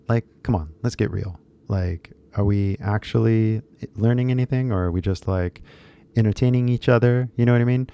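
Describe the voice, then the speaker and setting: A man's voice: on the low side, gravelly, clean tone, lo-fi sound. A man in his thirties chatting casually on a live stream, rambling with lots of fillers and switching into Japanese at times. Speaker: English teacher YouTuber.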